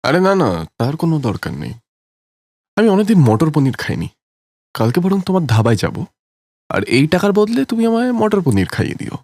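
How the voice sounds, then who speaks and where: A man's voice: a little high, big pitch swings, moderate pace, darkish tone, no echo. A man playing a friendly, matter-of-fact village doctor in a cartoon story. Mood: friendly, reassuring.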